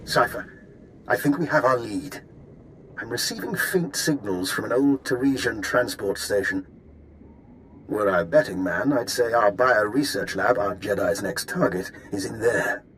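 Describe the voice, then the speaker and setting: A male actor playing a genteel, calculating imperial intelligence fixer in a video game, speaking in a measured, courteous, formal way. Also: fairly low pitch, a bit bright, slight background noise, rough recording. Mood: courteous, dry. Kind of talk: scripted game cutscene dialogue.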